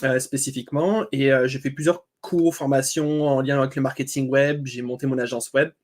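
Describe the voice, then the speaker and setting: A man's voice: medium pitch, fairly even intonation, a little fast. A man speaking as a guest, introducing himself in a casual, informal, self-deprecating conversational way. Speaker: guest, web agency owner.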